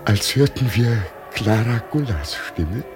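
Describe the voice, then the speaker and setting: An actor playing an old village man with solemn delusions of grandeur, speaking in a halting, cryptic way in a radio play. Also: mid-range voice, animated, music in the background. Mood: grand, mysterious.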